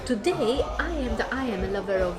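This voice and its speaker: A woman's voice: a little high, expressive, quick pace. A woman chatting enthusiastically about a pub's food and drinks. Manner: enthusiastic, upbeat. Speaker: food vlogger. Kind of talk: casual chat. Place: pub dining area. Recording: loud music in the background.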